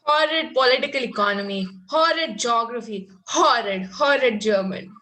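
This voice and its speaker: A woman's voice: fairly high pitch, moderate pace, sharp tone. A woman reading an earnest, precocious young Victorian lady in a comedy table read, formal with some stumbles over the script. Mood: petulant.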